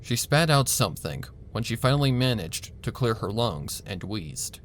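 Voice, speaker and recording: A man reading a story aloud as narrator, in a measured storytelling manner. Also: on the low side, slight background noise. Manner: measured storytelling, voicing a flat doctor in quotes. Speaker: narrator and reader. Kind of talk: audiobook narration of fan fiction.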